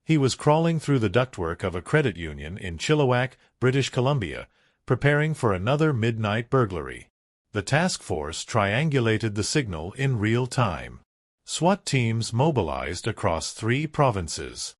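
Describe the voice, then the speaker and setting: Male voice, true-crime documentary narration, dramatic, punchy phrasing, lively intonation, dry studio sound. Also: fairly low pitch, measured pace, no echo.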